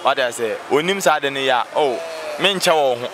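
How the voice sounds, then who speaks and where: A man's voice: mid-range voice, a little fast, crowd noise. A man with a Ghanaian accent giving opinions in casual talk, emphatic and repeating himself. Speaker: street interviewee. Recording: noisy outdoor street recording.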